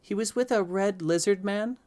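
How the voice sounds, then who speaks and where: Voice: mid-range voice, normal speed, a little mellow, voice pushed up, close to an everyday voice. A performer voicing a timid, frightened young child who speaks hesitantly. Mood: anxious.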